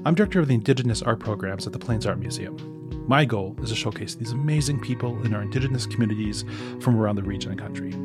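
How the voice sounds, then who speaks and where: A man with a mid-range voice hosts a podcast, first reading smoothly and then speaking with small fillers. Loud guitar music plays behind him. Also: mid-range voice. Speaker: podcast host. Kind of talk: scripted podcast intro, then interview.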